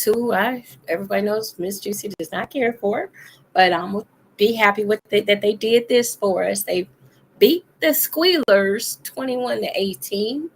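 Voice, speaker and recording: A woman chatting casually as a co-host, her voice made deep and hoarse by a cold, talking loosely with many pauses and laughs. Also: a little hiss or hum. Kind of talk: casual chat.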